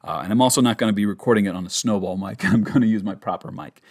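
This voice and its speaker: A man's voice: on the low side, fast, a little mellow. A man who works as a voice actor, teaching in a casual, conversational and emphatic way with demonstrations. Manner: emphatic, conversational, checks in with "okay?". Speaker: voice actor giving a tutorial. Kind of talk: casual instructional talk with demonstrations.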